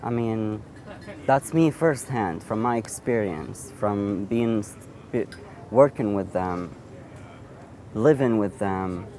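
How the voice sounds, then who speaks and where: A man in his thirties from Iraq, an Assyrian speaker, telling his own story in an interview in a halting way, with frequent restarts. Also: lowish voice, lively intonation, darkish tone. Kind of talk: personal storytelling.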